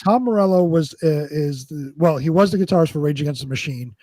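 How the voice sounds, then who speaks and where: Male co-host, slightly high register, relaxed conversational style, dry close recording. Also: fairly quick, no room sound. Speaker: co-host of a live online show. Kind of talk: casual conversational chat.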